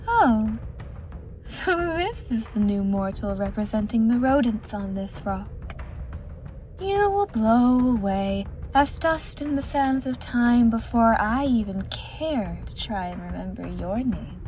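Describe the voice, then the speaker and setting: A woman's voice: a little high, lively intonation, slow, darkish tone, soft background music. A woman voicing a haughty, contemptuous immortal mermaid empress in a fantasy audio drama, imperious and theatrical. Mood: disdainful.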